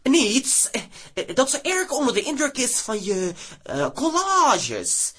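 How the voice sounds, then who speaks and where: A man's voice: very high voice, huge pitch swings, slow pace, fairly bright tone, husky, exaggerated voice. An actor playing a casual, evasive young man in a radio comedy, dryly teasing and at ease in both Dutch and English. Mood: breezy. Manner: casual, deflecting, switching between Dutch and English. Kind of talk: radio play comedy acting.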